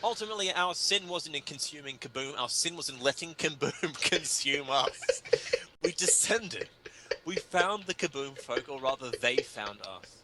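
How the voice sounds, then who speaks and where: A man's voice: a little high, lively intonation, normal speed, crowd noise. A man giving a theatrical, pompous dramatic reading of comic prose in a mock-grave manner. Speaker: reader of a humorous article.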